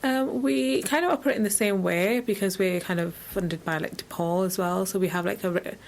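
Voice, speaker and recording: A woman's voice: medium pitch, moderate pace, creaky. A woman giving informal, explanatory answers as a charity guest in an interview.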